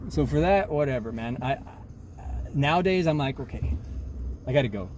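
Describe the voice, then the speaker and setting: A man's voice: mid-range voice, animated, moderate pace, darkish tone, a little hiss or hum. A man in his forties talking in a rambling, emphatic self-help monologue, full of restarts and repeated words. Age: 40s.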